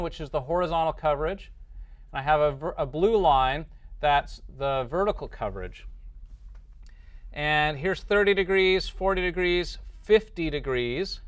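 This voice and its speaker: A man giving an informal software lesson as a teacher, thinking aloud through each step and often checking in with the listener. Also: mid-range voice. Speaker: teacher, lecturer.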